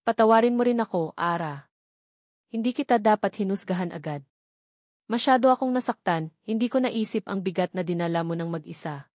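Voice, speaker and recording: A woman's voice: mid-range voice, a little fast, a little mellow, no echo, clean studio sound, lightly acted. A woman with a Filipino accent voicing an earnest, protective policeman and devoted father in a romance audio drama. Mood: tender, earnest.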